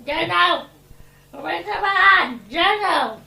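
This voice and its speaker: A shrill, heavily creaking voice of an impatient woman played by an actress, echoing through a room. She calls out slowly and insistently, as in a radio melodrama. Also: expressive, sharp tone, exaggerated voice. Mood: impatient calling. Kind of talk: melodramatic Ilocano audio drama acting.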